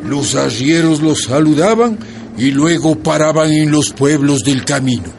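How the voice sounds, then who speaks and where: The grown child of a peasant family in a radio drama, telling of hardship slowly and expressively. The voice is high and lilting, with music clearly beneath. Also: male voice. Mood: reflective. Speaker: narrator. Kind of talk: dramatised storytelling narration. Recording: music bed under the voice.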